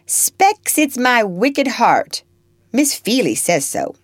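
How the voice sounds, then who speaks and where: A woman plays a young orphan girl from a storybook, impish and defiant. Her is blunt and offhand, pitched well up and swinging freely, in a very dry, close recording. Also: very animated, slow pace, no room sound, heavily acted. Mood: sly, matter-of-fact. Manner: dialect grammar, blunt, offhand.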